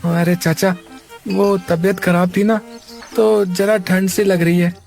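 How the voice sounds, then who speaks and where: A man voicing an eager young laborer, high voice. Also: fairly even intonation, slow, gravelly, darkish tone, soft background music, full character voice. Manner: eager, reassuring. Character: cheerful young male laborer. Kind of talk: Hindi cartoon story dubbing.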